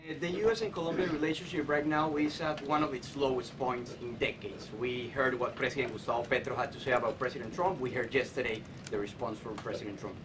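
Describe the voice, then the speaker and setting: A man's voice: steady tone, fast, few pauses. A man reporter asking a question at a press briefing, hesitant and stumbling over words. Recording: distant voice with clear rumble.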